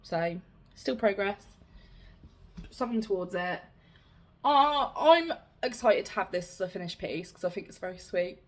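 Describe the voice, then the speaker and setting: A young woman with a slightly raspy voice, chatting casually as she shows her cross-stitch projects. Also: mid-range voice, animated, moderate pace, pauses often. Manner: chatty, rambling, self-deprecating. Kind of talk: casual show-and-tell chat.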